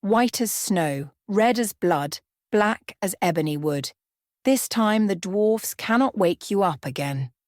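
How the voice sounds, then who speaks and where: A woman's voice: medium pitch, expressive, slow pace, dry recording, studio quality. A woman voicing a vain, scheming, wicked queen in a storybook, menacing and dramatic. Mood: cruel triumph.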